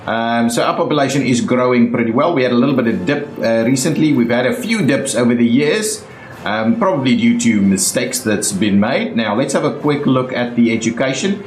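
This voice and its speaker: A young man with a South African accent talks through his city-building game, thinking aloud and filling his pauses with ums and uhs. His voice sits at an ordinary middle pitch. It is recorded in a room with a noticeable echo and a faint hiss underneath. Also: expressive. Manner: chatty, thinking aloud with many fillers. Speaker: gaming YouTuber. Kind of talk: casual game commentary.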